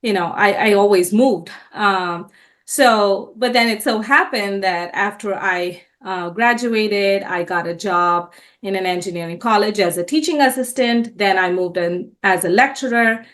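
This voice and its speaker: A woman's voice: mid-range voice, measured pace, fairly bright tone. Indian-born woman telling her story. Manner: earnest, many restarts and self-corrections. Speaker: entrepreneur guest. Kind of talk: interview storytelling.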